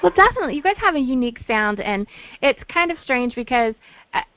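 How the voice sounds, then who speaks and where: A woman's voice: high voice, very animated, fast, dry recording, dull audio. A woman hosting a radio show, chatting to a band on the line with rambling restarts. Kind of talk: radio interview.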